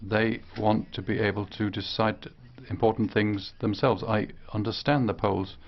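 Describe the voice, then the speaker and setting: Low-voiced male interpreter, halting phrases. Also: level tone, creaky, highs cut off. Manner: halting, broken into short phrases. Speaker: simultaneous interpreter. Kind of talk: live interpretation of a speech.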